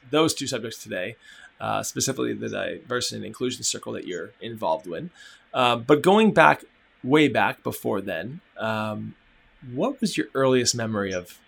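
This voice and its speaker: A man's voice: medium pitch, expressive, moderate pace, vocal fry, fairly bright tone. A man asking questions as the interviewer in a casual, conversational style.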